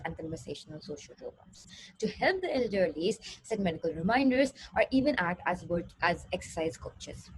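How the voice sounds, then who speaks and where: A woman's voice: mid-range voice, slight background noise, rough recording. A woman researcher giving an explanatory academic presentation, now and then stumbling over and restarting her words.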